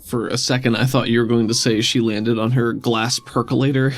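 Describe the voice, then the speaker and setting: A man's voice: mid-range voice, little pitch movement, brisk. A man acting as game master, narrating and explaining rules casually during a tabletop game. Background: faint music.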